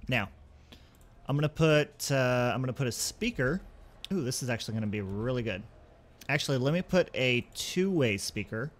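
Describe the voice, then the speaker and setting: A man gives casual let's-play commentary as a gaming host, thinking aloud and talking through each step. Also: medium pitch.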